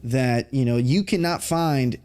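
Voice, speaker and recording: A man's voice: medium pitch, unhurried, darkish tone. A man hosting a podcast, asking long, rambling questions in an enthusiastic, chatty way. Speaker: podcast host. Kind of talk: casual interview chat.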